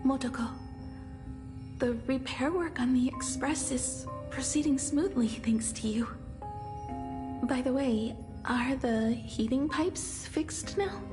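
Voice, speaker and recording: A woman playing a frail, earnest young woman inventor in anime game style, shyly sweet, with a hesitant, soft manner. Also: high-pitched, slow pace, music in the background. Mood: gentle, curious.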